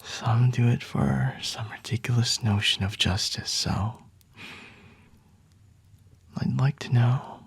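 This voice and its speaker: Man voicing a predatory vampire, ASMR roleplay, flirty and smug, slow pace, gravelly fry, husky whisper. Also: mid-range voice, fairly even intonation, dry recording, exaggerated voice. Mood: taunting, curious. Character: flirty, teasing, predatory male vampire, smugly seductive.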